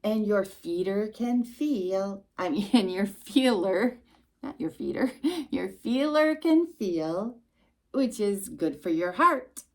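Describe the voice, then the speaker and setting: Slow, very animated woman reading a children's story. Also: medium pitch. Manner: animated, emphatic, with asides to a child listener. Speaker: children's storytime reader and host. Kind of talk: expressive read-aloud of a rhyming picture book to a young child.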